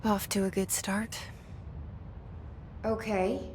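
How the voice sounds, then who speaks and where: A woman's voice: mid-range voice, little pitch movement, slow pace, darkish tone, slight background noise, close to an everyday voice. An actress playing a determined, guarded young woman in a video game, protective, terse and dryly skeptical. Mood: guarded, resolute. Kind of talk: game cutscene acting.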